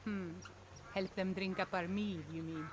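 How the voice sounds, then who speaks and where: A woman voices a firm village mother from a fantasy game, plain-spoken and hospitable. Her voice is of ordinary female pitch, set in loud ambient noise. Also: steady tone, measured pace, lightly acted. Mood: concerned. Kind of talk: video game dialogue acting.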